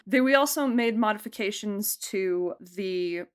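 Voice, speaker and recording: A woman in a relaxed interview talks about producing and directing an audio drama, with a medium-pitched voice. She is chatty, often breaks into laughter and fills her sentences with "like.". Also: unhurried, smooth. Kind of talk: casual interview answers.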